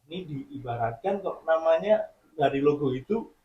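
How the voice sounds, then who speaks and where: A relaxed man chats about his band, laughing easily as he tells stories. His mid-pitched voice sits in a slightly roomy space. The sound is a little muffled and dull. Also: normal speed. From Central Java, Indonesia. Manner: relaxed, laughs often, chatty storytelling. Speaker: band member guest. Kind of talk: casual chat.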